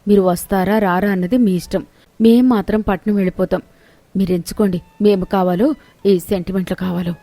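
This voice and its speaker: A woman's voice: mid-range voice, fairly even intonation, brisk, mellow, no room sound, natural voice. A woman voicing a spoiled, stubborn young city-bred wife in a moral tale, complaining and demanding. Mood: defiant, demanding.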